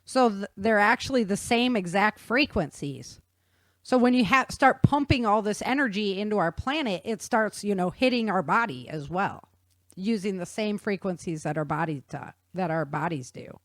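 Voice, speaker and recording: A woman explaining things in an animated, conversational way with casual slang. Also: mid-range voice, normal speed, no echo, clean studio sound. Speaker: guest author explaining research.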